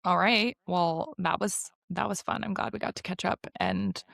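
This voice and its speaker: A woman co-hosting a podcast, chatting casually in a halting, slangy way full of likes and ums. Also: lowish voice, sing-song, creaky, fairly bright tone, dry recording. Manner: halting, filler-heavy, slangy conversational delivery. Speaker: podcast co-host.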